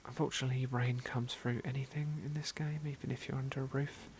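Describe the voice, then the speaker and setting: A British man narrating an ASMR game playthrough in a gentle, polite, understated manner. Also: mid-range voice, flat delivery, a little fast, slight background noise. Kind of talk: whispered ASMR roleplay and commentary.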